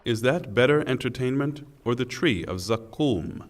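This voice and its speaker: A man's voice: mid-range voice, lively intonation. Solemn male scripture reader in reverberant space. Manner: measured, deliberate phrasing. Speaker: translation reader. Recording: long hall reverb.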